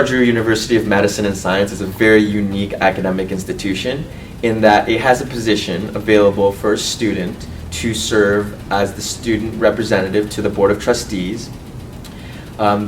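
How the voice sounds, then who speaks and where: Male student, twenties, earnest and halting testimonial, fairly low voice, off-mic sound with faint noise. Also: recorded from a distance. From Colombia, raised in California. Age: 20s. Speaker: medical student and university board representative. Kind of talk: personal testimonial to camera.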